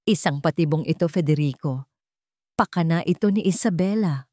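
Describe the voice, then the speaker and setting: A woman voicing a gentle, vulnerable pregnant young woman with an earnest, trembling manner in an audio love drama. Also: deep voice, moderate pace, dry recording, clean studio sound. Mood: pleading. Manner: soft, trembling, pleading.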